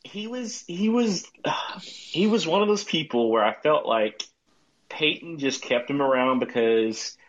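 A man's voice: fairly high pitch, expressive, a little slow. A man calling in to a sports show, telling stories casually as a fan, on dull call audio.

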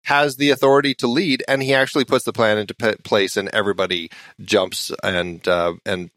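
Low-voiced man explaining on a podcast. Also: fairly even intonation, a little fast, fairly bright tone, no echo, clean studio sound. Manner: explanatory, checks in with "right?". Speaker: podcast co-host. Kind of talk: casual analytical film commentary.

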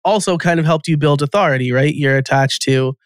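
A man's voice: a little high, brisk, clear, resonant tone, dry recording, studio quality. A man hosting a podcast, chatting casually with his guest and often checking in with "right?". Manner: conversational, frequent "right?" and affirming backchannels. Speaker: podcast host. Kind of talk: casual interview chat.

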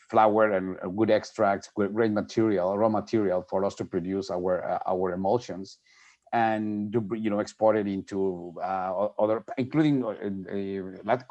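Halting Mexican-born man, lo-fi line. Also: fairly low pitch, a little mellow. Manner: halting, many restarts and fillers. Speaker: guest, company executive. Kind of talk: interview answers.

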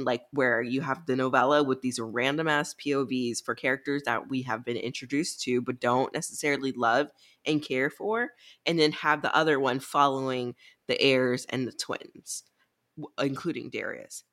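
A woman's voice: very deep voice, fairly even intonation, normal speed, fairly bright tone. A woman co-hosting a book podcast, talking casually in blunt, slangy language. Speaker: co-host of a book recap podcast.

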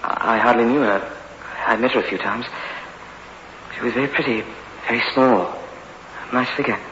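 An actor playing a meek, haunted young prisoner in a 1960s radio suspense drama, stammering and pleading nervously. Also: male voice, mid-range voice, moderate pace, bright, forceful tone, lightly acted. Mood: subdued, anxious. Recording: echoey, muffled, noisy old radio recording.

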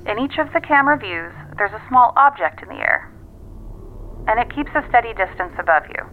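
A woman's voice: on the high side, animated, fairly quick. A woman acting in an audio drama as a sardonic, self-assured investigator relaying findings matter-of-factly over a phone line. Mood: cautioning. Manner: matter-of-fact, dryly wry. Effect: telephone filter. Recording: tinny phone line, music underneath.

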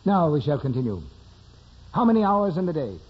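On a dull old radio recording, a condescending male doctor. He is husky and brusque, with a lively, interrogating delivery. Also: fairly high pitch, fairly quick. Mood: brusque, stern. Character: stern, condescending male doctor examining a patient. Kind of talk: 1940s radio drama acting.

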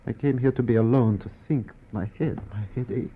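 Actor as distraught ballet impresario, thin vintage radio sound. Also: male voice, medium pitch, brisk, breathy edge, natural voice. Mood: anguished, weary. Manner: theatrical, broken phrasing, repeats words. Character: distraught, penniless Russian ballet impresario near breakdown. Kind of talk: 1940s radio drama acting. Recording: old radio broadcast, thin phone-like sound.

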